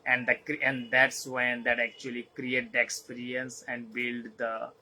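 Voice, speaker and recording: A man who is not a native English speaker, giving an unscripted talk as host, halting and repeating phrases as he searches for words. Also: mid-range voice, monotone, pushed and bright, slight background noise, poor audio. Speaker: host of a live session.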